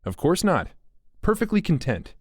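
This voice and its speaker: A man's voice: a little high, wildly swooping pitch, moderate pace, a little mellow, no room sound, clean studio sound, pitched above the performer's own voice. A man voicing a young man who keeps up a glib, falsely cheerful front. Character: wry young man hiding his nerves.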